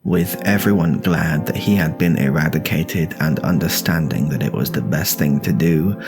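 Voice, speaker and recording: A British man narrating a mock documentary in a deadpan, scripted ASMR style. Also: a bass voice, moderate pace, music in the background. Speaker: host and narrator.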